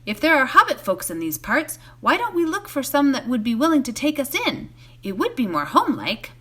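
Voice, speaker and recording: Homely hobbit servant played by a woman, worried and deferential, raised pitch, for a storybook. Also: very animated, brisk, a bit bright, slightly rough, full character voice. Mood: worried, pleading. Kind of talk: storybook character voice in a read-aloud.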